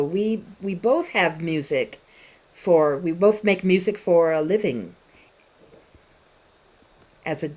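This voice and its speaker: A woman's voice: on the low side, darkish tone. A woman in her late fifties, a musician guest-teaching a class over a video call, telling stories and asking students questions in a conversational way. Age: 50s. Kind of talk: online classroom talk.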